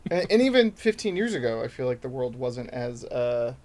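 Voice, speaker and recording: A young man from New York City, a guest comedian chatting casually on a podcast, rambling and cutting himself off, often slipping into quick acted-out quotes. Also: medium pitch, very animated, darkish tone. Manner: rambling, self-interrupting, quick to act out quotes.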